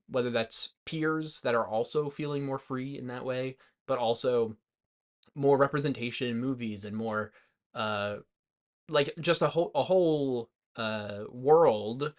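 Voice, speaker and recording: A man with a mid-pitched voice in casual podcast conversation. He feels his way through his thoughts in loose, halting phrases and leans often on the word "like". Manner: hesitant, loosely phrased, frequent "like". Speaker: podcast co-host. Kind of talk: casual movie discussion.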